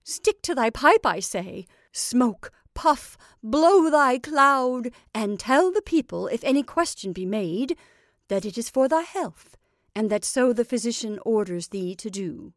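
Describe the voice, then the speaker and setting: A woman voicing a doting, sharp-tongued old witch with a high, sharp tone. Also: animated, a little slow, no echo, clean studio sound. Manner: fond and coaxing, archaic speech.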